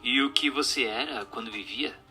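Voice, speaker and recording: An actor in a radio drama playing a formal, inquisitive séance questioner and narrator who speaks in a measured, scripted way. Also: male voice, mid-range voice, pushed and bright. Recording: music under the voice.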